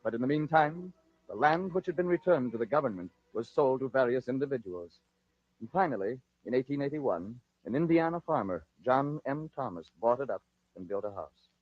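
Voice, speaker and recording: An American man narrating a 1940s radio drama as host, in a theatrical, measured storytelling style. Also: mid-range voice, expressive, dry recording. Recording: dull lo-fi 1940s radio recording.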